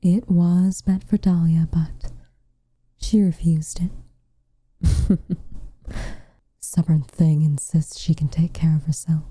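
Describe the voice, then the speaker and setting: A woman playing a tender, protective witch with a dry teasing streak in an intimate ASMR roleplay, speaking softly and breathily, close to the mic. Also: low voice, flat delivery, no echo, full character voice. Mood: tender, concerned. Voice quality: soft, breathy, near-whispered. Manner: gentle, measured, quietly teasing. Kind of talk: intimate ASMR roleplay, scripted character acting. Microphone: close studio mic, dry.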